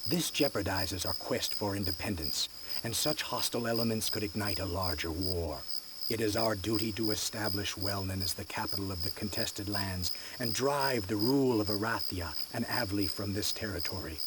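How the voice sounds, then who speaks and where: A man playing an earnest, resolute independence leader in a video game cutscene, speaking in a grave, deliberate, oratorical way. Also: on the low side, natural voice. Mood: resolute, urgent. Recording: loud nature noise, chirping insects behind.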